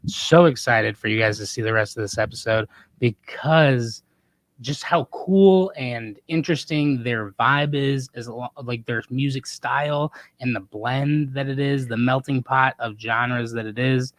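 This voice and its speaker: A man with an American Midwestern accent chats as a podcast co-host. He is informal and effusive and swears freely, in a mid-pitched voice. The recording is dry. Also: a little slow, no echo. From Indiana, US. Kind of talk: casual podcast chat.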